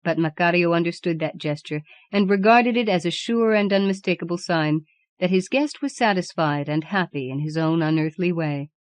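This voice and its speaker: A woman's voice: lowish voice, normal speed, no room sound. A woman reading fiction aloud as a scripted audiobook narration. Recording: dry audiobook recording from cassette.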